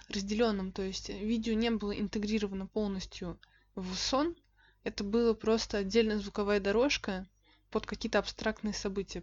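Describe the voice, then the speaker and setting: A woman's voice: mid-range voice, darkish tone. A young woman co-hosting a podcast, talking in a casual, reflective and detailed way. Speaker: podcast co-host. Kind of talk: casual chat. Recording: dull lo-fi podcast recording.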